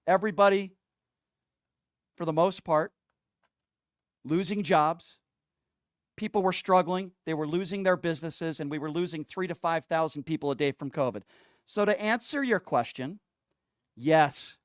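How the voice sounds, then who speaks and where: A man's voice: a little high, clear, resonant tone, dry recording, clean studio sound. A man hosting a political call-in radio show, scolding and sarcastic as he argues. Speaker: radio talk show host.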